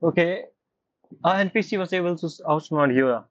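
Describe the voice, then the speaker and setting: Fast-talking woman streamer, casual commentary. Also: lowish voice, no echo. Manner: informal, muttering short reactions. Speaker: game streamer. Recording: muffled mic over game music.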